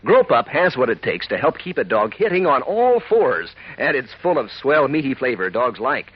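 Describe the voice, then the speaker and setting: Animated American radio announcer, husky-voiced man. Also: medium pitch, moderate pace. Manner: emphatic, chummy salesmanship to children. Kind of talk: 1940s radio commercial pitch. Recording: muffled old radio recording, music.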